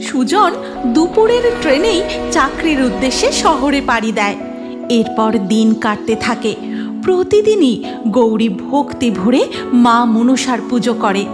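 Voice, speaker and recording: A woman's voice: on the high side, expressive, a little slow, over music. A woman giving scripted narration of a cartoon moral story, in a reverberant recording.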